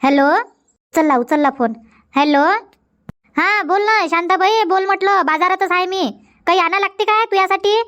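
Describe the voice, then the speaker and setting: A woman plays a good-natured village housewife from a Marathi comedy cartoon. Her voice is high, and she talks in a colloquial rural Vidarbha accent. She banters and nags, repeating her phrases as she goes. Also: normal speed, a bit bright. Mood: chatty. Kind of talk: dubbed comedy cartoon dialogue.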